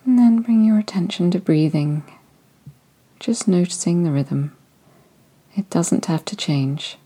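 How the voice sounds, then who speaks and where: Slow, soft-spoken woman guiding meditation. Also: on the low side, breathy edge. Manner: soft, slow and soothing, often drifting into whispers. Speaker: meditation guide. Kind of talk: guided body-scan meditation.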